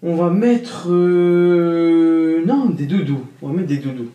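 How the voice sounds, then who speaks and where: A young man vlogging casually at home, excitable and chatty, talking straight to his viewers and laughing often. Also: on the high side, slow pace, highs cut off, a little hiss or hum. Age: young adult. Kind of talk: casual vlog to camera. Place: indoor bedroom at home. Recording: roomy echo, voice from off-mic.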